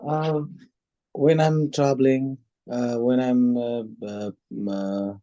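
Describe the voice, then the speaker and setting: Hesitant Nepali man, slow and mellow. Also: medium pitch, fairly even intonation, no room sound, muffled recording. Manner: hesitant, many fillers and restarts. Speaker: test candidate. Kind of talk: mock speaking exam answers.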